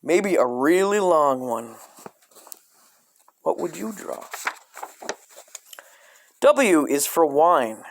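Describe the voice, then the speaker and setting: A man reading a dark comic coloring book aloud in a deadpan way, breaking off into profane asides. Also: on the high side, very slow, gravelly, long pauses, a little hiss or hum.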